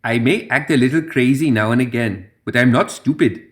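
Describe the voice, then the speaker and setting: A man's voice: medium pitch, a little fast, close to an everyday voice. A man voicing a sly, playfully cruel supernatural young woman in a story reading, knowing and teasing. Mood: knowing, earnest.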